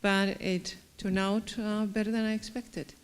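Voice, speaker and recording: Smooth-voiced woman with Chilean accent. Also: medium pitch, flat delivery, a little slow. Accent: Chilean Spanish. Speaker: panelist. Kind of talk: panel self-introduction.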